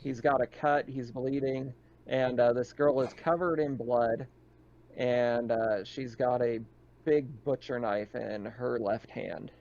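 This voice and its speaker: A man works as game master for a tabletop roleplaying session. His pitch is ordinary and his delivery conversational, describing scenes directly to the players, on slightly muffled audio. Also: steady tone, takes it slowly, creaky, darkish tone. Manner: conversational, narrates in second person.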